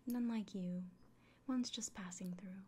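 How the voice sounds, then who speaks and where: A woman playing a kindly village innkeeper in a fantasy ASMR roleplay, speaking in a soft, breathy whisper with gentle, hesitant pauses. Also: mid-range voice, lightly acted. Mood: earnest, encouraging. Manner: gentle, unhurried, hesitant pauses, fussing over the listener. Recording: close soft recording with clear background noise.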